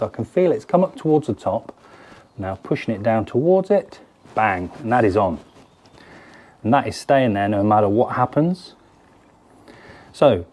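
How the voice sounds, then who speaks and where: A man's voice: mid-range voice, warm tone. A British man in his forties, chatting casually as he demonstrates fishing lures to camera from a garden shed. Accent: British English. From United Kingdom. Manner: chatty, hands-on, explains while fiddling with lures. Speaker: fishing tackle presenter. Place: garden cabin or shed, indoors.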